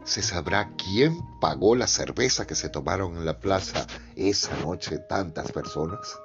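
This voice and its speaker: A man's voice: deep voice, a little slow. A man presenting a radio drama episode with a scripted, theatrical introduction, in a dull recording. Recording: dull lo-fi sound, prominent music.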